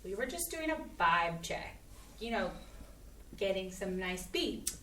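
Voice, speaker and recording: A woman's voice: mid-range voice, very animated, a little slow, fairly bright tone. A woman reading the part of an offhand young photographer in a staged play reading. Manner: offhand, slangy. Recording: roomy, distant, faint hiss.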